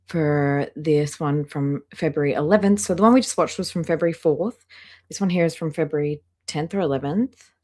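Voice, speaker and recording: A woman's voice: deep voice, dark tone. A woman hosting a casual reaction livestream, chatting directly to her viewers as she comments. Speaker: livestream host reacting to videos.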